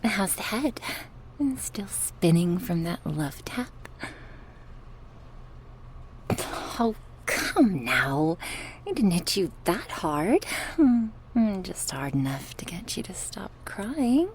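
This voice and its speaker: A woman's voice: lowish voice, big pitch swings, a little hiss or hum, full character voice. A woman playing a cruel, sadistic goddess who taunts sarcastically in a horror ASMR roleplay. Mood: mocking. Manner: taunting, sarcastic, slow and drawn out with sighs. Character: sadistic goddess, mocking, cruel, playfully menacing captor.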